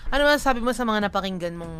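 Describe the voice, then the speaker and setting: A woman's voice: mid-range voice, animated, very fast, a bit bright. A Filipina radio host talks in a mid-range voice over light music. She is chatty and opinionated, mixing slang into a conversational commentary.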